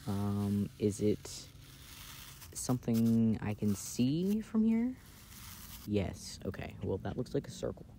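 A man playing an affectionate, playful boyfriend in a casual, intimate ASMR roleplay, speaking softly and hesitantly, recorded outdoors. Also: lowish voice, animated, takes it slowly. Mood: playful, teasing. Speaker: amateur audio roleplay performer. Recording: outdoor ambient noise.

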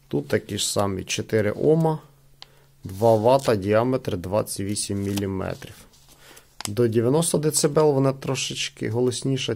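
A man giving casual, halting unboxing commentary, thinking aloud as he reviews a product. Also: lowish voice, vocal fry, darkish tone, slight background noise.

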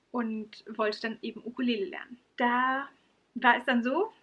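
Young woman from Franconia, lightly accented. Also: on the high side. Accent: light Franconian German. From Franconia, Germany. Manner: warm, earnest, stresses words by repeating them. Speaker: vlogger and occupational therapist. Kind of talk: casual storytelling to camera. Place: small room in front of bookshelves, indoors. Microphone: camera mic, close framing.

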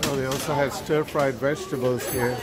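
A man giving casual, halting walk-through commentary as a vlogger, observing what is around him. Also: medium pitch, fairly even intonation. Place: large busy cruise ship buffet hall. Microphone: handheld camera or phone. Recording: background music and loud dining crowd. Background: music and crowd chatter.

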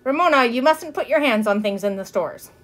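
A woman's voice: on the high side, lively intonation, brisk, a bit bright. A woman voicing a weary, exasperated mother in a storybook, firm and long-suffering with her small child.

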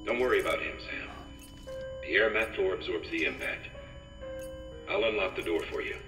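An actor playing a calm, helpful ally in a video game, reassuring and matter-of-fact, heard echoey and from a distance. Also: male voice, deep voice, normal speed, music in the background, close to an everyday voice. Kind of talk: video game cutscene acting.

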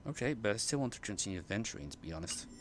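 A man's voice: lowish voice, big pitch swings, very fast, heavy background noise. A man giving casual game commentary as a streamer, reacting and reading on-screen text aloud. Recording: loud game music behind the voice. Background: video game music.

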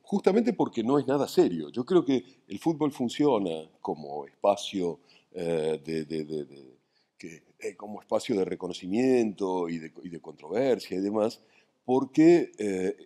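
A middle-aged Argentine man on a theater stage, speaking dryly and haltingly in an onstage conversation. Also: fairly low pitch, animated, dark tone. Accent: Argentine Spanish. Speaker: festival panelist, writer. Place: dark theater stage, seated in armchairs.

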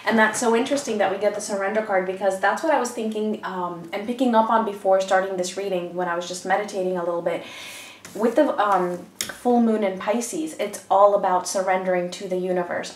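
A woman's voice: mid-range voice, distant mic. Woman, stuffy from a cold, chatty tarot reader, echoey room, mic set back. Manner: chatty, meandering, many ums and restarts. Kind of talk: casual tarot reading to camera. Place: indoors at a table, room with echo.

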